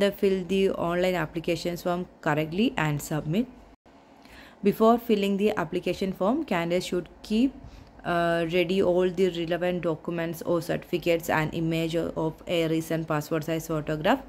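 A woman's voice: lowish voice, a little mellow, a little hiss or hum. A woman reading through a recruitment notice point by point, methodical, with small restarts. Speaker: job notification explainer.

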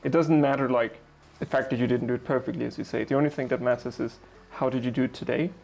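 A young man as a guest in a casual conversation on an outdoor balcony, explaining ideas in a relaxed way. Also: medium pitch, fast talker, vocal fry.

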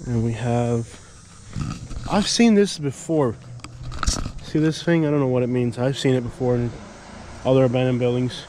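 A man in his thirties vlogging casually outdoors, chatting and thinking out loud with lots of fillers, filmed on a handheld camera. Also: mid-range voice, moderate pace, pauses often, slight background noise. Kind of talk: casual walking vlog. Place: outdoors at an empty lot, open air. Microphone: handheld action camera at arm's length.

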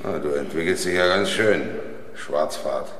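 A man acting a middle-aged, authoritative construction crew boss in a German radio crime play, brisk and commanding. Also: extremely low pitch, fairly bright tone. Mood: firm. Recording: roomy, echoing sound.

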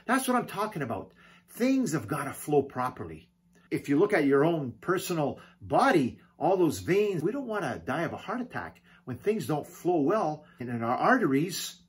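Middle-aged pastor man preaching at home to camera, bit high and husky, lots of hallelujahs. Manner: animated preaching with frequent hallelujahs, gesturing. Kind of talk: video sermon. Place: home living room, indoors.

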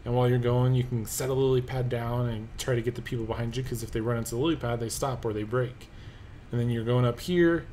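A man narrating gameplay as a let's play host, relaxed and thinking aloud with many pauses and ums. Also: mid-range voice, slight background noise. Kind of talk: casual game commentary. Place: at a computer, voice-over of gameplay.

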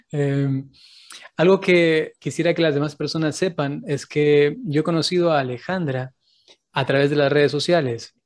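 A man's voice: fairly high pitch, measured pace. A man in his thirties, a priest hosting a friendly interview from a room, speaking into a desk microphone and laughing often. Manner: warm greetings, laughs often. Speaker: priest and host. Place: indoor room with a door behind. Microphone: desk microphone on stand.

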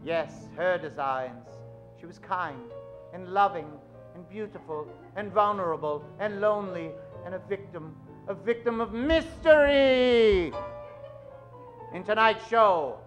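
A man in middle age narrating an old-time radio drama on stage, suave, with dramatic pauses. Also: high voice. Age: middle-aged. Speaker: host and announcer of a radio show. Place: stage of a small theatre. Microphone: vintage-style stand microphone.

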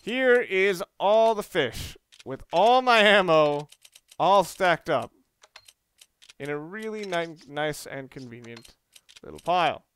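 Man, higher-pitched, smooth clear tone, casual gaming commentary, rambling, thinks aloud. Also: expressive, takes it slowly, fairly bright tone. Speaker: let's play host.

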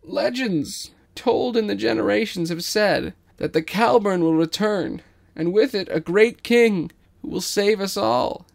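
A man's voice: high voice, measured pace, vocal fry. A man playing an awestruck, desperate elder of a starving space colony, proclaiming reverently in an audio drama. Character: desperate, awestruck older leader of starving station dwellers.

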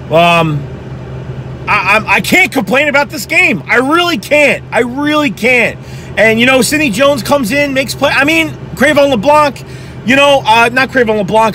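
Hoarse, high-pitched ranting young man. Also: a little hiss or hum. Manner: excited, profane, rapid-fire ranting. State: hoarse from yelling. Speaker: sports fan vlogger. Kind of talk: heated post-game fan rant. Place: inside a car at night.

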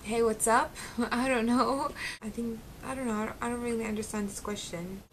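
A woman's voice: a little high, steady tone, normal speed, a little hiss or hum. A young woman with a slight Arabic accent, chatting casually and giggling to camera from her bedroom, with plenty of ums. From Syria. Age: young adult. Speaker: beauty vlogger. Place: small bedroom at home, indoors. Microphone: close webcam, seated facing camera.

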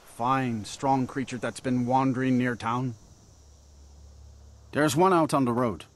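A man playing a plain-spoken village blacksmith in a video game, gruff, direct and practical. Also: mid-range voice, fairly even intonation, slow, slight background noise, natural voice. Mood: businesslike. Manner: direct, matter-of-fact. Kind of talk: video game character acting.

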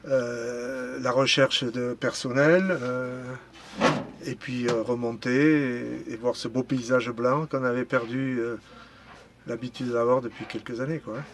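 A man's voice: mid-range voice, little pitch movement, unhurried. A middle-aged man giving hesitant, casual interview answers in a small indoor storeroom. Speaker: ski rental shopkeeper. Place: narrow indoor storeroom of ski boots. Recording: faint room noise.

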